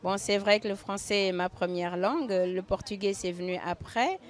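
A middle-aged woman author from Cape Verde answers interview questions outdoors. She explains things calmly and plainly. She holds a handheld mic close. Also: medium pitch, moderate pace. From Cape Verde, raised in Senegal. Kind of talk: interview conversation. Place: outdoor festival under a tent.

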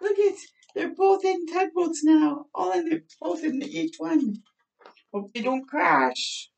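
A woman's voice: extremely high pitch, takes it slowly, gravelly. A middle-aged woman hosting children's storytime, reading a picture book aloud expressively with asides, drawing out words in a home bathroom. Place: small home bathroom, indoors. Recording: slightly distant microphone in a room.

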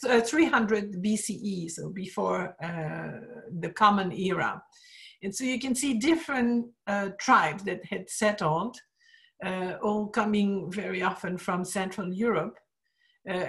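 A woman lecturing over slides on art history, explaining steadily and pausing often with "uh" as she finds her words. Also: medium pitch. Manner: explanatory, frequent "uh" pauses, trailing off at phrase ends. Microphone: screen-share talk, webcam in corner.

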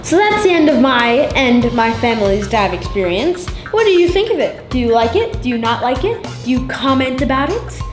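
A woman's voice: sits high, big pitch swings, normal speed, few pauses, echoey room, music in the background. A teenage girl talking in a plain, matter-of-fact way in her family's travel vlog. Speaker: vlogger's daughter. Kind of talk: casual vlog talk.

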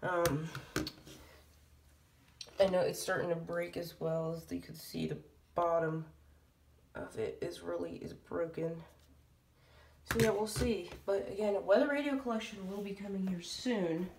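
A woman's voice: lowish voice, measured pace. A woman giving a casual vlog update to viewers in a hesitant, rambling way, recorded on a handheld device in a room at home. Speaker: hobby video creator. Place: small room at home, indoors. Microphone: handheld camera or phone mic. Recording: roomy, distant mic, light noise.

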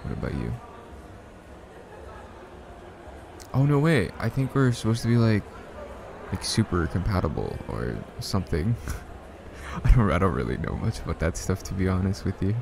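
A man acting a shy, smitten young man in an ASMR roleplay, speaking softly and hesitantly with bashful stammers. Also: deep voice, very animated, moderate pace, gravelly, noisy background, exaggerated voice. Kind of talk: ASMR roleplay, soft one-sided conversational acting.

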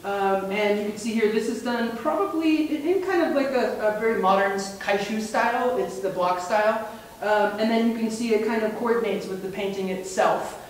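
Man, 30s, relaxed educator, steady ums, distant mic, very roomy echo. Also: high-pitched, normal speed. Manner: relaxed, fluent with frequent ums. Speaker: museum education coordinator. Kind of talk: casual gallery presentation. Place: indoor museum room. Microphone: far camera mic. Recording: echoey room, mic far off, faint hiss.

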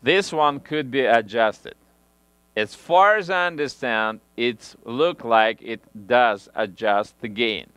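A man in his thirties demonstrating audio gear in a small home studio, talking through the steps in halting phrasing with frequent restarts, on a headset mic. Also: mid-range voice, fairly bright tone, smooth, no room sound. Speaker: audio equipment reviewer. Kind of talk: hands-on equipment demonstration.

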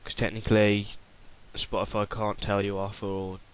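A young man casually talking through a software tutorial while recording his screen, rambling with fillers and restarts. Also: lowish voice, level tone, moderate pace, smooth.

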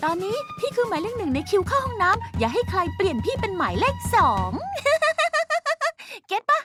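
A woman voicing a bossy, peppy, joking young girl who coaches others in a Thai cartoon dub, pushy and animated. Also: very high-pitched, normal speed. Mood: jokey coaching. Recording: loud music behind the voice.

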